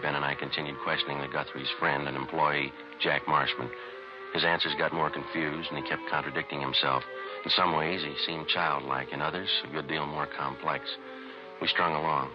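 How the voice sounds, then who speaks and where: A man's voice: very deep voice, little pitch movement, a little fast, lo-fi sound, music in the background. A man playing a terse, methodical police detective in a clipped, matter-of-fact 1950s radio drama style on a vintage recording. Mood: deadpan, official. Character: terse, methodical male police detective narrating his case. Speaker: detective narrator.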